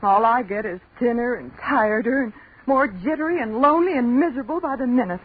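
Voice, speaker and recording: A woman's voice: on the high side, brisk, breathy edge. A woman playing a young customer in a 1940s radio commercial, on a tinny old recording. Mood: weary, complaining. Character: weary, plaintive young woman seeking a tonic.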